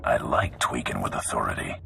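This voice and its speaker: A terse, cocky bounty hunter. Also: male voice, extremely low pitch, very animated, a little fast, vocal fry, bright, forceful tone, heavily acted. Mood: deadpan. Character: cocky hard-bitten male bounty hunter. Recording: music behind.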